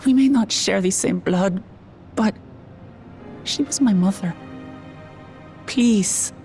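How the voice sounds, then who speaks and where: An actress playing an earnest, tender-hearted young heroine in a game cutscene, halting and emotional. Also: female voice, medium pitch, darkish tone, soft background music. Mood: pleading. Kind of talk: dramatic video game cutscene acting.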